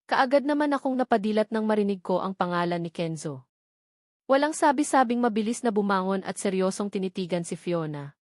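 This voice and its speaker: A woman's voice: medium pitch, animated, brisk, a little mellow, dry recording. Female Filipino audiobook narrator, mid-range voice, very dry recording, scripted first-person story.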